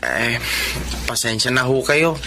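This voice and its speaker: A man's voice: medium pitch, very animated, slow pace, creaky, sharp tone, slight background noise, heavily acted, poor audio. An actor playing a humble, self-effacing young working-class man in a Filipino drama, speaking hesitantly and politely.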